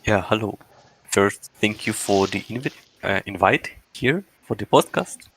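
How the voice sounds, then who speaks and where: A man's voice: lowish voice, unhurried, a bit bright, slight background noise. A man in his twenties from Russia, speaking English as an interview guest, hesitant and searching for words. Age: 20s. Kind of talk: casual interview answers.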